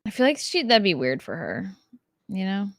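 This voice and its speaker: A woman's voice: mid-range voice, sing-song, normal speed, vocal fry, no room sound, clean studio sound. Wry, playful woman podcast co-host. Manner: wry, self-deprecating, playful asides. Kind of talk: casual chat. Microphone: video call setup.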